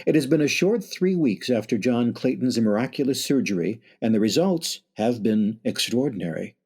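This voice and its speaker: A grandiloquent radio-drama announcer played by a man, narrating with ominous formality. The voice is medium in pitch with a husky, slightly rough texture. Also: expressive, normal speed, darkish tone. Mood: grand, introductory. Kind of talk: old-time radio scripted narration.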